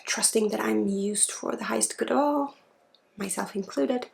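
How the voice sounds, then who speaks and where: A woman gives a spiritual ASMR healing session, speaking softly and informally with pauses and often dropping into a breathy whisper. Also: mid-range voice. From Sweden. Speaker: spiritual healer and ASMR host.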